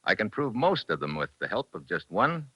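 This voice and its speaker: An actor playing a tough, wry American investigator in a clipped, matter-of-fact 1940s radio drama style. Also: male voice, on the low side, sing-song, normal speed, pushed and bright, slightly rough, no room sound, heavily acted. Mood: confident, accusing. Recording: muffled vintage 1940s radio recording.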